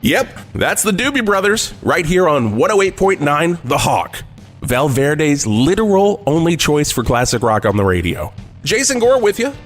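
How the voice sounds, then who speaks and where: A man playing a deadpan classic rock radio DJ, delivering showy patter in a parody radio shift. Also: fairly high pitch, moderate pace. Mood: showy, wry. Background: music behind the voice.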